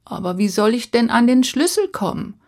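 A woman's voice: on the high side, sing-song, normal speed, a little mellow, pitched above the performer's own voice. A woman voicing a young male prince who sounds earnest and helpless, his voice raised and pleading.

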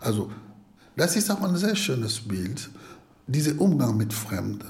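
Ein etwa fünfzigjähriger Mann aus dem Kongo erzählt mit kongolesischem Akzent auf Deutsch als Zweitsprache, zögernd und nach Worten suchend. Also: male voice, mid-range voice, big pitch swings, a little slow, creaky, very noisy. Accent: Congolese. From Congo. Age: around 50. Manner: hesitant, searching for words. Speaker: interviewee, social worker. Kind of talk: personal account in interview.

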